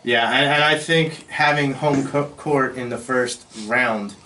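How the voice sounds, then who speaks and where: A man's voice: medium pitch, steady tone, a little slow, pushed and bright, slight background noise, rough recording. An American man casually discussing sports as a podcast panelist, recorded on a studio microphone. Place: small indoor podcast studio. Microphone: boom-arm studio microphone.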